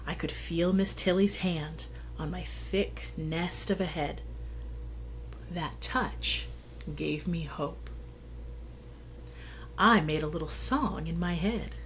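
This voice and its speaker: Middle-aged woman, unhurried storybook read-aloud, expressive delivery, medium pitch, slight background noise from a home webcam recording. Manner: deliberate, expressive storytelling delivery with drawn-out phrases. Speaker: host reading a children's picture book aloud. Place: small plain room indoors.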